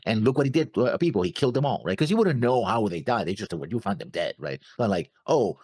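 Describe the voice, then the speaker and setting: A man with a fairly low voice in a very dry recording. He chats casually as a reaction show co-host, working out story theories in halting phrases with repeated words and imagined lines of dialogue. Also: animated, a little mellow, husky, dry recording. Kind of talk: casual discussion of plot theories.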